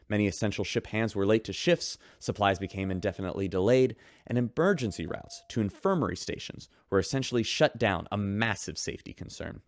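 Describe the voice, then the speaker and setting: A man's voice: fairly low pitch, no echo, clean studio sound. An actor playing a chatty, showman-like radio host reading the ship's news in an audio drama.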